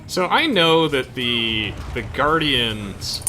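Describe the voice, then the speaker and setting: A man's voice: medium pitch, animated, slow pace, pushed and bright. A man giving casual let's play commentary while gaming with friends, chatting offhand about the game. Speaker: gaming video host. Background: game music.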